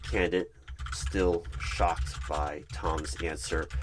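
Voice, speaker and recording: A man narrating an ASMR roleplay in the third person, with halting, measured phrasing. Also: low voice, little pitch movement, noisy background.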